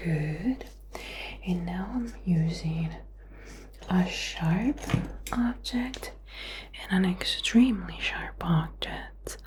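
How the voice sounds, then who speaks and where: A woman doing a whispered ASMR medical role play as a doctor, giving soothing, slow, repetitive instructions. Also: lowish voice, background noise. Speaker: ASMR performer playing a doctor.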